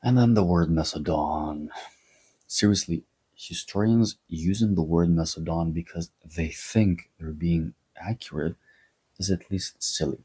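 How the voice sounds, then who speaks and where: A man from Greece talks through a history commentary in a sardonic, opinionated way. His voice sits low and dark, with a husky, breathy edge. He leans on wry, mocking asides. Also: unhurried. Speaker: history video host.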